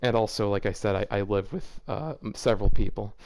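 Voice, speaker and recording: A man hosting a casual fan fiction reading at home, reading aloud awkwardly and halting often with "like" fillers. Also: on the low side, vocal fry, darkish tone, no echo. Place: home shared with several people.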